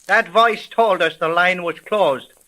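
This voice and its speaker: A blunt, bossy police sergeant in an old radio mystery. His voice sits high and cuts hard and bright. He questions like a bully, suspicious of everyone and quick with rough colloquial talk. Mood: pressing, insistent. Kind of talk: 1930s radio mystery acting.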